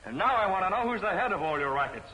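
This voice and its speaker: A man's voice: high-pitched, big pitch swings, racing, bright, forceful tone, heavily acted, rough recording. A man playing a stern, commanding masked vigilante with an American accent and clipped delivery, in the style of a 1940s film serial. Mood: triumphant. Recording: old film soundtrack with music.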